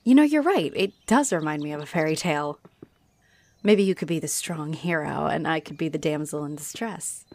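A woman's voice: deep voice, moderate pace, a little mellow, no room sound. A woman playing a friendly, teasing, flirty female halfling orc adventurer in a roleplay audio addressed to the listener. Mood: eager, friendly. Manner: teasing, chuckling, coy. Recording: noticeable noise behind the voice.

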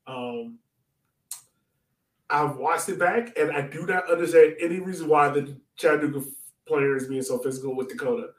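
A man's voice: fairly high pitch, moderate pace. A man co-hosting a casual soccer fan podcast, talking informally and breaking down a match. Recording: echoey room, far from mic.

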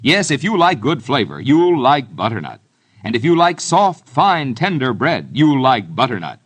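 A male American announcer sells in classic radio style. His mid-pitched voice moves through very animated melody, folksy and persuasive. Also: normal speed, slightly rough. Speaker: commercial announcer. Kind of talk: old-time radio advertising read.

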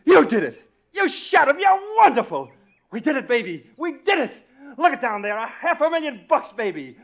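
An actress playing a gangster's greedy, gleeful sweetheart, shrill and excited, in a 1940s radio drama. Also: female voice, normal speed, full character voice. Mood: gleeful, exultant. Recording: muffled 1940s radio recording.

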